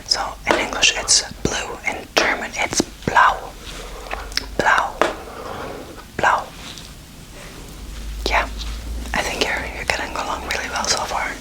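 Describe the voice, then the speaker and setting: A woman's voice: slow, pauses often, rough recording. Whispering young woman, ASMR tutor. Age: 20s. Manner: casual, playful, encouraging. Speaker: host teaching beginner German. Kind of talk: whispered ASMR language lesson.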